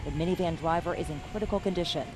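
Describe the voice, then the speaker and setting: A woman TV news reporter reading a scripted story in a measured, steady voice. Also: fairly low pitch, over music.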